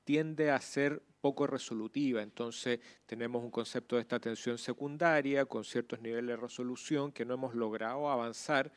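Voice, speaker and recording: A man from Chile with a Chilean accent, explaining spontaneously in a class discussion, haltingly, with repeated words and fillers. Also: mid-range voice, steady tone, smooth. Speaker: participant in a health seminar.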